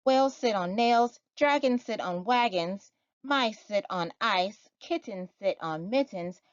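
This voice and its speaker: A woman's voice: mid-range voice, animated, a little slow, dry recording, lo-fi sound, voice pushed up, lightly acted. A woman voicing a fussy storybook cat, a stickler for rules, listing things insistently in sing-song.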